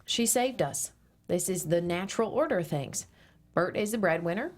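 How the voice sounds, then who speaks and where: A woman's voice: lowish voice, lively intonation, normal speed. A woman reading the part of a proud, stubborn former radio host with a prim manner, at a table read.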